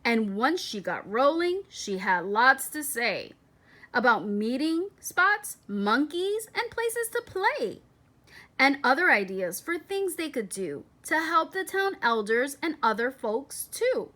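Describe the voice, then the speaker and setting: A woman reading a children's picture book aloud in a deliberate, expressive storytelling manner. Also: high-pitched. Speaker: storybook reader.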